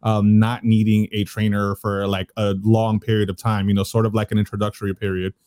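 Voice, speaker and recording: A man's voice: lowish voice, completely flat delivery, a little fast, darkish tone, clear, resonant tone, no room sound. A man in his thirties interviewing in an informal, agreeable, rambling conversational way. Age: 30s.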